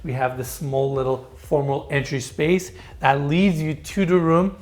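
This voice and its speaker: A man's voice: on the high side, steady tone, a little slow. A man from Turkey with a Turkish accent, hosting an enthusiastic walkthrough of a large house. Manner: enthusiastic, showcasing. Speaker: host. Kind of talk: home tour presentation.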